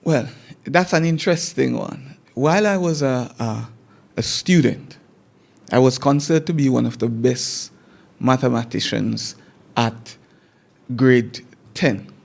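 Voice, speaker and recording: Gravelly Jamaican man telling his story. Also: medium pitch. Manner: repeats words while recalling, measured storytelling. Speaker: guest teacher. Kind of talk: conversational interview.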